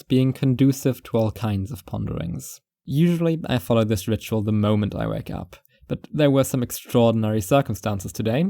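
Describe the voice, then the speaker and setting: Male actor as reclusive, paranoid first-person narrator for audio fiction. Low, dry close sound, musing and wry. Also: creaky, mellow, no echo.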